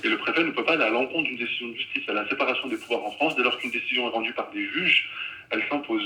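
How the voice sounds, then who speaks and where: A man's voice: mid-range voice, very fast, pushed and bright, a little hiss or hum, poor audio. A man speaking as an official spokesman, making a formal, guarded statement in bureaucratic language. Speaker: prefecture spokesman.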